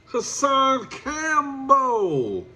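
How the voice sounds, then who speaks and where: A man's voice: very high voice, wildly swooping pitch, extremely slow, pushed and bright. A man with a stuffed-up, nasal voice from allergies, giving a preachy direct-address commentary and calling the person he lectures "my brother.". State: congested from allergies. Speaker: YouTube commentator.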